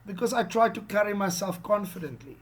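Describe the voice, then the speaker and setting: A middle-aged man from South Africa with an Afrikaans accent, giving a casual lesson on job interviews, animated and checking in often with "okay?". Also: high voice, a little fast, a little hiss or hum. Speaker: online English teacher.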